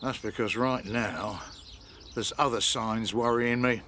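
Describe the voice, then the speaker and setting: An actor playing a touchy, suspicious middle-aged English pub landlord in a radio soap, blunt and sardonic. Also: male voice, on the low side, fairly even intonation, a little slow, very noisy, lightly acted. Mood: jealous, sardonic.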